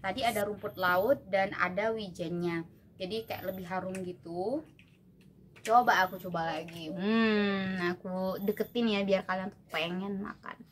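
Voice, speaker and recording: A young woman from Indonesia, reviewing food in a relaxed, chatty way, with many fillers and tasting hums. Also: mid-range voice, a little slow. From Java, Indonesia. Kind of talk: casual food review vlog. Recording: noisy home recording. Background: clear background noise and clicks.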